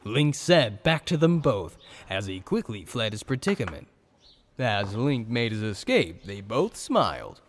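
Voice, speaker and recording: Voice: medium pitch, big pitch swings, a little slow, slight background noise. A narrator reading a scripted story for an audio drama in storybook style.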